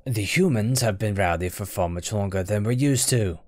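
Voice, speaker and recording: An actor playing a stern, logical god in an audio drama, matter-of-fact and formal. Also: male voice, on the low side, normal speed, a little mellow, no echo, lightly acted. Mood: stern, factual.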